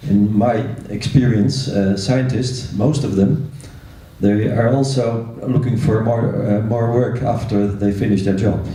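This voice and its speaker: A man's voice: fairly low pitch, fairly even intonation, measured pace, dark tone. A man making a spontaneous, hesitant comment from the audience at a meeting, often repeating words as he goes. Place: large echoey room at a meeting. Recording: echoey hall, distant microphone.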